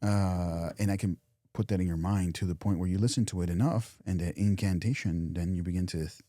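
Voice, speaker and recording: Deep, mellow man musing on life. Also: steady tone, a little fast, dry recording, clean studio sound. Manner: reflective, repeats and restarts phrases, softens into quiet imagined thoughts. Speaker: podcast co-host. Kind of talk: casual motivational chat.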